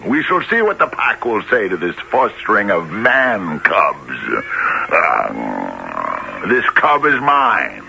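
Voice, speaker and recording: A man playing an arrogant, menacing man-eating tiger in an old-time radio drama, with an imperious, gravelly delivery. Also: sits low, huge pitch swings, pushed and bright, full character voice. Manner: imperious, slow, threatening. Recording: muffled vintage radio with faint noise.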